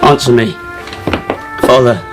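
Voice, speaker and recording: A man's voice: medium pitch, steady tone, slow pace, natural voice. Man acting a hurt young son, earnest and accusing, mid-range voice, radio drama with faint music. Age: young adult. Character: earnest, wounded young son confronting his father.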